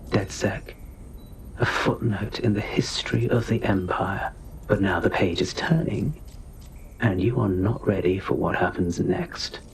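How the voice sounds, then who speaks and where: A man's voice: on the low side, a little hiss or hum, lightly acted, low-quality recording. An actor playing an arrogant captured spy, lecturing his captors with contempt. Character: arrogant captured male spy, scornful and smug. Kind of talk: video game cutscene acting.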